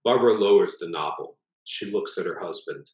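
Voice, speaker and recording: A man reading his own short story aloud as an author, in a measured, expressive narrating manner. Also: sits low, echoey room, rough recording.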